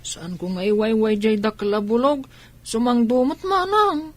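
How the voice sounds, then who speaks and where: Babae nga agak-akto iti natimid nga ubing, nangato timekna. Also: female voice, fairly high pitch, measured pace, natural voice. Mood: timid. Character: small orphaned child, timid younger sibling. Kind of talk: radio drama acting.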